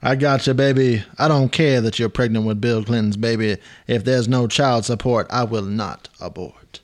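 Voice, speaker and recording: A man's voice: medium pitch, moderate pace, close to an everyday voice. A man voicing a swaggering, callous hustler hero in a comic radio play. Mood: cocky. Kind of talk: radio-play narration and acting.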